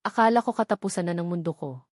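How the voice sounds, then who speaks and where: A woman with a Filipino accent voicing a calm, sincere, resolute young heiress in an audiobook romance. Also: lowish voice, fast, no echo, studio quality, lightly acted. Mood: tender reflection.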